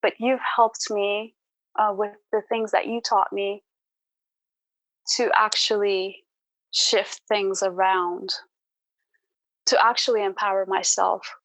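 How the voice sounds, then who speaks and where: A woman's voice: medium pitch, no echo, low-quality recording. A woman podcast guest telling her personal story in an earnest, thoughtful, reflective way.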